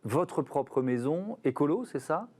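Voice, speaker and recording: A man's voice: fairly high pitch, expressive, a little slow, darkish tone. A man hosting a studio interview. He asks questions with many hesitations and repeated words. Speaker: TV show host.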